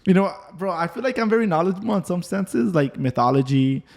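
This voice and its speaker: A man's voice: sits high, lively intonation, fairly quick, creaky, a little mellow. Young man in slangy podcast banter. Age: 20s. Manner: slangy, swearing, bro-heavy banter. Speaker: podcast co-host. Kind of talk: casual podcast chat with a friend.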